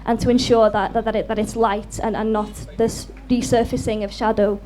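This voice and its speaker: A woman's voice: on the high side, level tone, brisk, a little mellow, faint music behind. A Welsh woman and native Welsh speaker with a Welsh accent, answering off the cuff on a panel in a hesitant, self-deprecating way. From Wales. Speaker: author on a festival panel.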